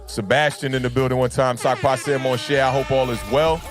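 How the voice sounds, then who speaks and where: A young adult man with a deep baritone voice, under the weather, hosting a casual sports livestream and chatting with fans. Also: level tone, a little fast, music in the background. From United States. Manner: laid-back, repeats "man" and "y'all know what it is". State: under the weather, deeper voice than usual. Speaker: sports talk livestream host.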